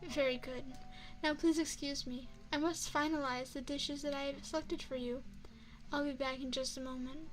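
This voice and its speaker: Woman playing an elegant, high-voiced vampire chef. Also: fairly even intonation, faint music behind, close to an everyday voice, rough recording. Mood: gracious, attentive. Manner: formal, measured, refined, seductive hospitality. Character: elegant, courteous female vampire chef and restaurant owner. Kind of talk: ASMR roleplay monologue. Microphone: binaural microphone.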